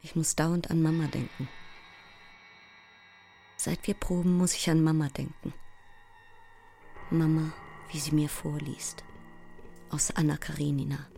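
A woman playing a sleepless, overwrought actress close to breakdown in a theatrical monologue, intense and fragmented, often slipping into breathy whispers. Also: low-pitched, flat delivery, unhurried, pauses often, mellow, faint music behind. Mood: paranoid, obsessive. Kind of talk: theatrical audio drama monologue.

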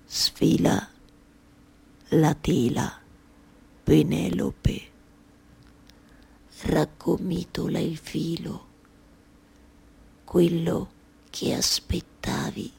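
Actress doing war-haunted bitter man, low and husky, drones the same words over and over, radio play. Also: fairly even intonation, very slow, long pauses, darkish tone, heavily acted. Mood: muttering, defeated. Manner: low, compulsive repetition. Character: war-haunted, obsessive husband Ulysses, droning bitter refrains. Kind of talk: theatrical monologue in radio theatre. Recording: live music behind the voice.

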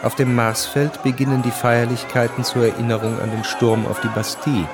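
A man's voice: medium pitch, little pitch movement, brisk, music in the background, crowd noise. A man giving a scripted narration for a historical radio drama.

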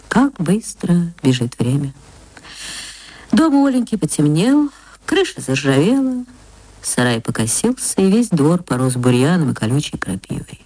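An actress reading a short story for radio, in expressive dramatic literary narration with drawn-out words. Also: female voice, mid-range voice, no room sound, poor audio.

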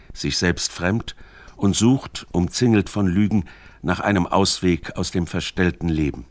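A man reading scripted narration for a literary radio documentary in a measured, formal manner. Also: low voice, no room sound.

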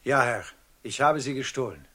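An actor playing a defiant, frank male slave in a German radio play, with a husky voice. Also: mid-range voice, moderate pace, bright, forceful tone.

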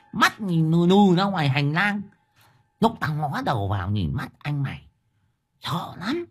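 A man's voice: husky, heavily acted. A man voicing a coarse village wife who tells tales dramatically in colloquial speech within a narrated story. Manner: raised pitch, colloquial, dramatic.

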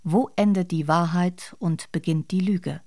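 A woman reads a scripted radio introduction as an announcer. Her voice is a little low for a woman, with a dark, mellow tone. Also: steady tone, a little slow.

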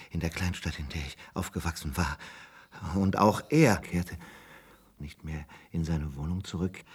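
Weary old man, husky and low. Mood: haunted, intent. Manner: halting, slow, pensive recollection. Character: weary old former police officer, reflective, haunted. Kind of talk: German radio play acting.

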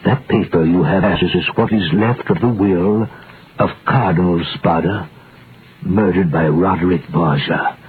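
Slow, deep-voiced dying old priest. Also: male voice. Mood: earnest, insistent. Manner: grave, halting, solemn delivery. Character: dying old scholarly priest prisoner, gentle and earnest. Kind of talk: 1930s-40s radio drama acting. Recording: muffled, noisy vintage radio recording.

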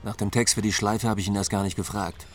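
A blunt, wry man played by an actor recounts things in a low voice and a dry, matter-of-fact way. It is interview-style radio drama with faint music. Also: fairly even intonation, fast, close to an everyday voice. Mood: dry, exasperated. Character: blunt, wry male friend recounting funeral arrangements.

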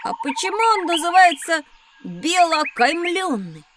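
Cheeky, very high-voiced little boy. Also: very animated, unhurried, fairly bright tone, a little hiss or hum, heavily acted. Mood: curious. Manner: blunt, inquisitive. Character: cheeky, skeptical young schoolboy, curious and teasing. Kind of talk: children's educational audio drama.

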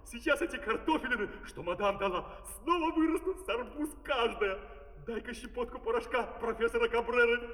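An actor playing a downtrodden zoo animal in a children's fairy-tale opera, with dramatic, expansive 1970s stage delivery. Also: male voice, extremely high pitch, fairly quick, fairly bright tone. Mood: eager. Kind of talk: 1970s theatrical opera acting and singing. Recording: echoey hall on vinyl, orchestra behind.

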